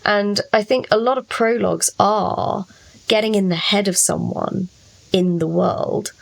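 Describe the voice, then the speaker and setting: A woman's voice: mid-range voice, steady tone, measured pace, gravelly. A woman talking casually as a fiction author on a podcast, reflective and explaining things through her own experience.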